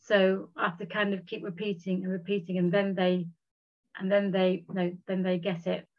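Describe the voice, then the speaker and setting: A woman who stammers, talking haltingly in a casual conversation with repeated words. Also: mid-range voice, flat delivery. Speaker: guest sharing experience. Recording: dull lo-fi recording.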